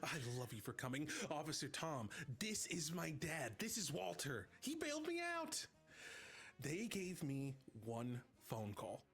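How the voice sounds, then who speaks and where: A man playing a naive, excitable grown man raised as an elf in a live comedy table read, earnest and blunt. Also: on the high side, measured pace, faint music behind, full character voice. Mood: elated, adoring.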